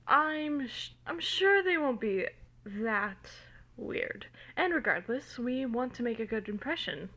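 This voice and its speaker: A woman voicing a composed, mildly sardonic young man in a story, coaxing and measured, recorded on a phone. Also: fairly high pitch, big pitch swings, bright, forceful tone, full character voice. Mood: reassuring.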